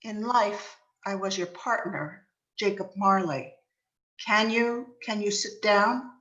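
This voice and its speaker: A woman reads as the ghost of a dead male business partner in a Victorian tale. The delivery is very slow, solemn and cryptic, heard through a dull, lo-fi recording. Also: medium pitch, a bit bright. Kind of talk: dramatic reading of a Victorian ghost story. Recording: dull, lo-fi sound.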